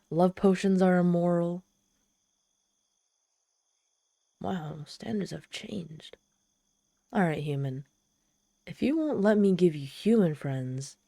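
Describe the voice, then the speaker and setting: A woman's voice: fairly low pitch, mellow, no echo, clean studio sound. A woman playing a proud, desperate, socially awkward trapped demon in a scripted ASMR roleplay, speaking haughtily and directly to the listener. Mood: indignant, softening.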